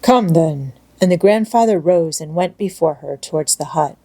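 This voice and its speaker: A woman reading a children's classic aloud as scripted storybook narration, in a measured, expressive manner. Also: fairly low pitch. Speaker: audiobook narrator.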